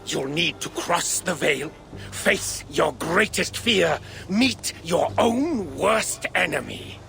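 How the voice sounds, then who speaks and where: A man voicing a cryptic, solemn mystic monk who guides in a video game, deliberate and portentous. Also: on the high side, lively intonation, gravelly, pushed and bright, faint music behind, full character voice. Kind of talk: video game cutscene acting.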